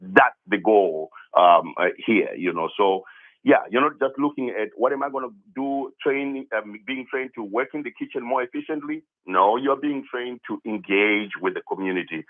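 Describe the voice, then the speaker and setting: A man's voice: mid-range voice, normal speed. A man from Zimbabwe calling in to a radio show. He talks eagerly, stumbling into restarts and repeated words as he explains his ideas, heard through a narrow, tinny phone line. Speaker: caller, app founder. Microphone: telephone.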